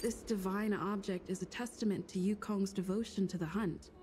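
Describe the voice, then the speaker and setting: A woman's voice: medium pitch, fairly even intonation, normal speed, mellow, a little hiss or hum. A woman doing casual video game playthrough commentary, reading on-screen text and thinking aloud. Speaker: gamer reading and reacting.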